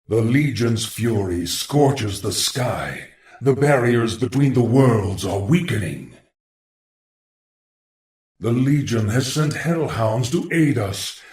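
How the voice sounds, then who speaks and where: Fantasy game lich: sinister ghostly sorcerer, slow archaic gravity, voice set back in echo. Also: male voice, mid-range voice, animated, darkish tone. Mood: solemn summoning. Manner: grave, measured, archaic. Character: undead lich sorcerer, solemn, calculating, sinister. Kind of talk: dramatic fantasy game acting. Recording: echoing, distant-sounding voice.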